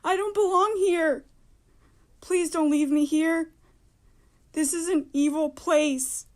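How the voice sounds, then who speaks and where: A woman's voice: very high voice, fairly even intonation, slow. A woman playing a frightened, defiant teenage girl in an audio horror drama. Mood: pleading.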